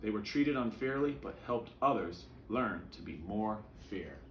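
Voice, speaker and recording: A man voicing a patient, earnest storybook mother who explains things in a gently instructive way. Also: fairly low pitch, lively intonation, unhurried, echoey room, a little hiss or hum, rough recording.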